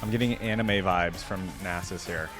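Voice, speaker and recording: A man hosting a reaction video, giving informal, excitable commentary and thinking aloud as he goes. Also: sits low, normal speed, music in the background. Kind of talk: casual reaction commentary with some reading aloud.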